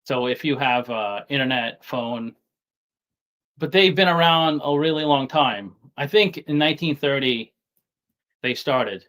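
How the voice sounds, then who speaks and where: A man from New York City with a softened New York accent, talking casually as an interview guest, halting and often repeating words as he restarts. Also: mid-range voice. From Staten Island, New York City. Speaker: guest investor.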